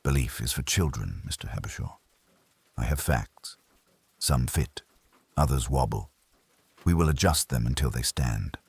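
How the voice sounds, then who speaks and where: A man voicing an imperious, cerebral private detective in an audiobook, speaking in formal, pedantic, measured pronouncements. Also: extremely low pitch, lively intonation, vocal fry, darkish tone, husky, no echo, pitched below the performer's own voice, exaggerated voice. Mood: stern, analytical. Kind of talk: audiobook character dialogue.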